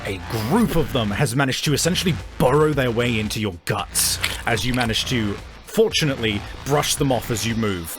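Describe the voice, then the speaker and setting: A man's voice: medium pitch, a bit bright. Man as game master, music behind. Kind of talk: narrating a tabletop roleplaying game.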